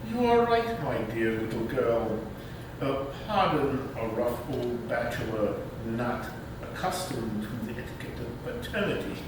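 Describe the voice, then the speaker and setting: A man's voice: expressive, a little slow, close to an everyday voice. A man playing a pompous, sardonic middle-aged bachelor uncle with formal, wordy speech in a comic stage reading. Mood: gracious, pompous. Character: pompous, wordy bachelor uncle of forty-two, easily affronted. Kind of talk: staged readers theater comedy. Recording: echoey hall, far-off mic, clear rumbling noise.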